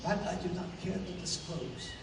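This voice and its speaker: A man's voice: high-pitched, normal speed, lightly acted. A man playing a dignified, grave Mayan king who speaks formally, in a 1930s-style radio play. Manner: formal, stately. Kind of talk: 1930s radio adventure acting. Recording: noisy, very echoey hall, distant mic.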